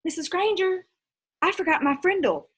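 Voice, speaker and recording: A woman's voice: very high voice, moderate pace, a bit bright, pitched above the performer's own voice. A woman voicing a bold, cheeky fifth-grade boy who plays wide-eyed and innocent in a storybook. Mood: feigned innocence.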